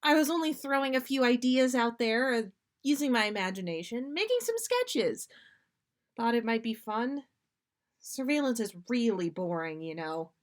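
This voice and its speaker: A woman's voice: a little high, sing-song, normal speed, pitched above the performer's own voice. A woman voicing a bashful, easily embarrassed man with a flustered, huffy manner in a fan fiction reading. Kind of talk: fan fiction read aloud.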